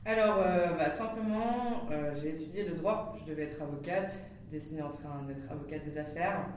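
Guest voice: woman in her thirties, animated and fast in bursts, recorded far off the mic in a very echoey room with a low rumble. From Netherlands. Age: 30s. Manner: animated, laughs often, talks fast in bursts. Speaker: startup founder, podcast guest. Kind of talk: casual interview storytelling.

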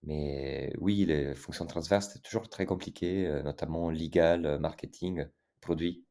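A man's voice: very deep voice, fairly even intonation, unhurried, dark tone. A man in his thirties from Italy with an Italian accent, speaking as a podcast guest in a hesitant way, with many fillers and restarts. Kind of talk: casual interview talk.

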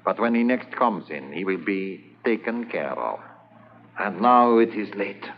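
An actor playing a suave foreign smuggling boss who speaks with cold, formal politeness, in 1950s radio drama style. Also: male voice, lowish voice, lively intonation, unhurried. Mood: menacing polite. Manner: smooth, coldly polite, formal. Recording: dull lo-fi 1950s radio transcription with hiss.

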